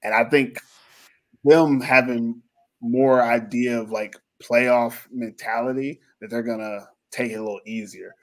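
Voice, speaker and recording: A man's voice: mid-range voice, fairly even intonation, a little slow. Male podcast host, loose and rambling, pokes fun at himself, easygoing sports banter. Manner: rambling, self-mocking, jokey. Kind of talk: casual sports podcast chat. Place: lives in Finland.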